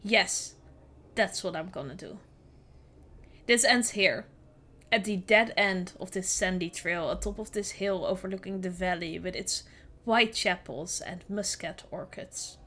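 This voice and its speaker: A woman's voice: on the low side, measured pace, bright, forceful tone. A woman whose first language is Dutch, hosting a podcast and reading a horror story aloud, then chatting and giggling about it. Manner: giggly and chatty in conversation, with frequent laughs. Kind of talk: reading a creepy story aloud, with casual chat between passages.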